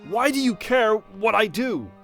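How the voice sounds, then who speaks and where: Man as an animated, stubborn echidna guardian. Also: high-pitched, unhurried, faint music behind, exaggerated voice. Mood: defensive. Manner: stubborn, defensive. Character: proud, stubborn male echidna treasure guardian. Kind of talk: audio drama acting.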